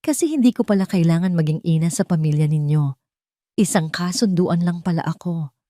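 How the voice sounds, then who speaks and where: A woman's voice: lowish voice, brisk, warm tone, no echo, clean studio sound, lightly acted. A woman voicing a hurt, angry young wife who accuses and pleads in a Tagalog audio drama. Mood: quietly hurt. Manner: accusing, pleading rhetorical questions.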